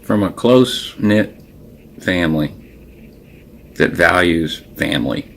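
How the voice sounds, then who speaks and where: Deep-voiced, slow man, native Creole French speaker. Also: expressive, creaky, fairly bright tone, a little hiss or hum. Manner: halting, searching for words, trailing off. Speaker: personal documentary narrator. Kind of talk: reflective, unscripted personal monologue.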